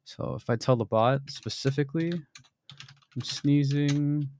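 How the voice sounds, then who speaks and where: A young man casually walking through a software demo, explaining as he goes, with frequent ums and throat clearing. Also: mid-range voice, takes it slowly, a little hiss or hum. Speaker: software developer demoing his bot. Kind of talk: screen-recorded software demo.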